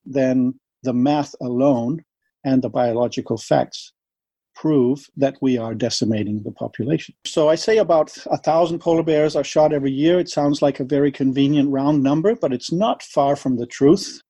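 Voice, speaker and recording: A man from Denmark with a Danish accent, speaking English as a second language in an interview, measured and laying out his argument step by step with numbers. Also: medium pitch, mellow, no echo. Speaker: interviewee, wildlife advocate. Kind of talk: earnest explanatory interview talk.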